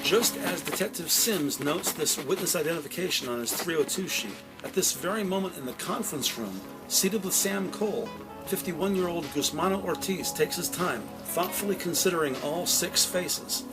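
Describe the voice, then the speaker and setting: A man with a somewhat high voice narrates a crime audio drama. He tells it dramatically and in careful detail, over clearly audible music. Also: lively intonation, moderate pace, low-quality recording.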